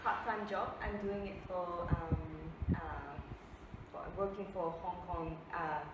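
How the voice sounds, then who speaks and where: Woman, Japanese accent, native Japanese speaker, hesitant interview talk, lots of ums, low-ish voice, far from mic, heavy room echo. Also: fairly even intonation, slow pace. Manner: hesitant, many ums and restarts. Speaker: guest. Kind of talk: casual interview answers. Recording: very echoey and distant with room noise.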